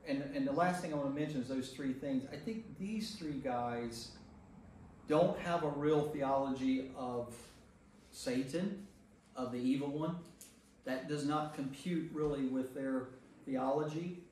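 A man's voice: medium pitch, a little slow, darkish tone. American guy pastor leading a group discussion, conversational, very far from the mic in a really echoey room. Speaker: pastor leading a Bible study. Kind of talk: informal group teaching and discussion. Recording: echoey room, distant mic, faint noise.

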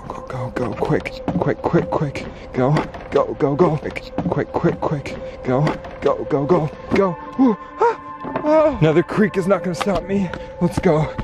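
A young man filming himself at arm's length as he hurries through the woods, talking urgently with gasps and exclamations. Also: a little high. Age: young adult. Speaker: vlogger. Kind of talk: excited vlog narration. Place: woods outdoors. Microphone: handheld camera at arm's length.

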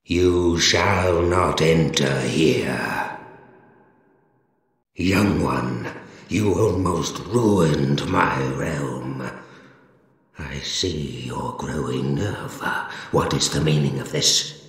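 Game villain: colossal deformed holy keeper, pompous and accusing, slow gravelly delivery, long echoing tail. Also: male voice, full character voice. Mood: reproachful, grave. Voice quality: gravelly, cavernous bass. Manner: pompous, accusing, preaching in archaic, measured phrases. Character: huge deformed zealous monster keeper of heaven, stern and menacing. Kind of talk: video game character voice lines, solemn dramatic monologue. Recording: cavernous echo with long reverb tail.

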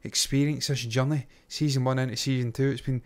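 A man's voice: medium pitch, fairly even intonation, brisk. A middle-aged Scottish man chatting casually as co-host of a review show, blunt and sweary, close on a microphone. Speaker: YouTube reaction show co-host. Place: small indoor home studio. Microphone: close microphone on boom arm.

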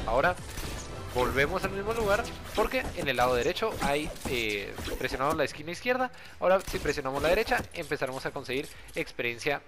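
A man's voice: medium pitch, a bit bright. A young man giving a casual, friendly gameplay tutorial, talking directly to his viewers in an informal way. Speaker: gaming video creator and host.

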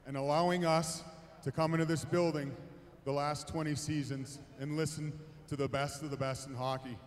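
A man's voice: a little high, flat delivery, moderate pace. A middle-aged man giving a formal ceremonial presentation speech on a handheld microphone. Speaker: team representative presenting an award. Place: on the ice in an indoor arena. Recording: echoing noisy arena PA.

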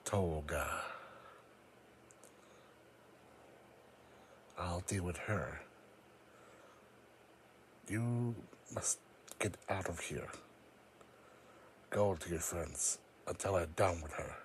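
Man playing weary psychic creature for fan audio drama: low-pitched, grave, formal, noisy home recording. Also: slow, long pauses. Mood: solemn, troubled. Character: solemn, weary, psychic cat-like creature, proud and wary.

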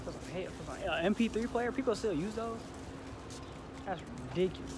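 High-pitched young American man, very noisy recording. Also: brisk. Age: 20s. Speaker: vlogger. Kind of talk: casual vlog chat, slangy. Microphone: phone at arm's length. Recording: noisy outdoor, car and store ambience.

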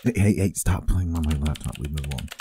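A man's voice: a bass voice, quick pace, noisy background. A man playing a teasing, affectionate boyfriend in an intimate, casual ASMR roleplay, murmuring playfully.

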